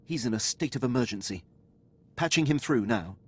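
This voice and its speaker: An actor playing a dutiful, loyal military officer in a video game, speaking formally and with precise, clipped diction. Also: male voice, mid-range voice, animated, normal speed, slight background noise, close to an everyday voice. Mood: businesslike, urgent. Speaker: starship captain serving a lord.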